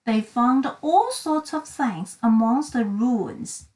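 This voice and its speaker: A woman narrating a children's storybook in an expressive, dramatic and deliberate storytelling style. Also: a little high, far from the mic. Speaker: read-aloud storyteller for children.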